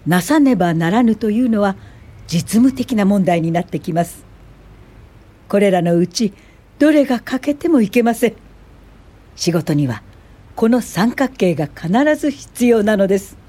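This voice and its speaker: An actress playing a kindly, dignified elderly woman professor in a radio drama, speaking in a gentle, measured, instructive way. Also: medium pitch, breathy edge. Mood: earnest, instructive. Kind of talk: Japanese radio drama acting and narration.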